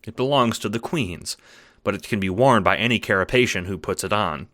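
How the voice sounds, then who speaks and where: A man's voice: on the low side, brisk, sharp tone. A man playing a precise, erudite alien troll who narrates an audio drama in a formal, pedantic manner. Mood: measured, pedantic. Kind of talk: scripted audiobook narration in character.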